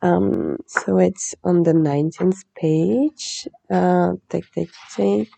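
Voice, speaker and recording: A woman hosting a podcast, chatting in a relaxed, meandering way and reading a legend aloud. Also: on the low side, slow, gravelly. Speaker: podcast host.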